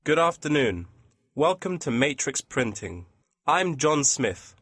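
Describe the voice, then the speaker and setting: Man acting polite print shop employee, lively intonation. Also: a little high, takes it slowly, husky, no room sound, clean studio sound, full character voice. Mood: polite, attentive. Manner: courteous, customer-service formal. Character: polite, businesslike male printing company employee taking a customer's requests. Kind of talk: scripted exam listening dialogue.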